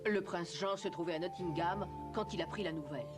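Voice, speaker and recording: A woman's voice: lowish voice, fairly quick, music in the background. A woman reading scripted storybook narration for a children's cartoon.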